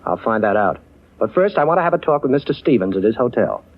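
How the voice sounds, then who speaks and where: Confident reformed crook, quick husky delivery, old radio sound. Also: male voice, mid-range voice, sing-song. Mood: calm. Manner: cool, wry, assured. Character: suave reformed safecracker hero, male adult. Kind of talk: 1940s radio acting. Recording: tinny old radio broadcast.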